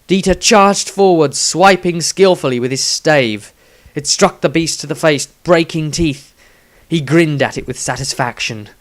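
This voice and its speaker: Man narrating a fantasy tale. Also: a little high. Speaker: author reading own story. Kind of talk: scripted fantasy story narration.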